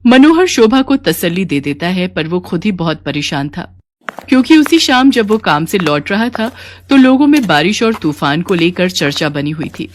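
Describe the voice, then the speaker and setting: A woman's voice: fairly low pitch, sing-song, moderate pace, dry recording. A woman narrator reading a scripted children's story.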